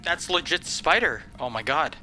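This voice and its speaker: A man's voice: a little high, lively intonation, normal speed, sharp tone, soft background music. A man reacting live to a video game, jumpy and exclaiming, often panicky and rambling. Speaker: gameplay streamer.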